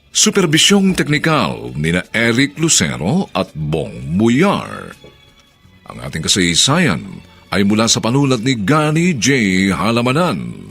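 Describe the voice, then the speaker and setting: A man with a Filipino accent announcing a radio drama in formal, grand scripted narration with a gravelly, husky voice. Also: medium pitch, fairly bright tone.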